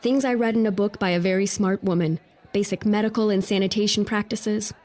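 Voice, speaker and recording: A woman's voice: medium pitch, fairly even intonation, a little fast, a little mellow, natural voice. A woman playing a modest but resolute young noblewoman in an audio drama, composed and articulate. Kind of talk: full-cast audio drama acting.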